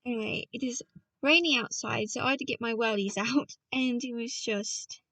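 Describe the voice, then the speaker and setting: A woman's voice: fairly high pitch, moderate pace, pushed and bright, no room sound, far from the mic. A woman reading a novel aloud as a casual audiobook, chatty and playful, often stopping to talk to her listeners. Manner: chatty, self-interrupting, playful with voices. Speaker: audiobook reader.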